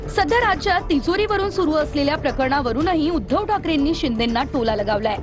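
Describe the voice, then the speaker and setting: High-pitched woman reading news over music. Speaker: news anchor. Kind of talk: scripted news narration.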